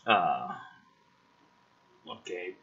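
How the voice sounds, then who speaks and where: A man with a raspy voice reading a script aloud dramatically, mixed with casual, sardonic, sweary commentary. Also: low voice, expressive, very slow, a bit bright, highs cut off. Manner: sardonic, sweary, exasperated asides. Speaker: host reading and critiquing a film script draft.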